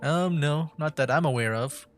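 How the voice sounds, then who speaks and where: A man's voice: fairly high pitch, normal speed. A young man livestreaming a video game, giving casual commentary in hesitant, trailing phrases. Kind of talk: casual game commentary, reading dialogue choices aloud. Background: game music.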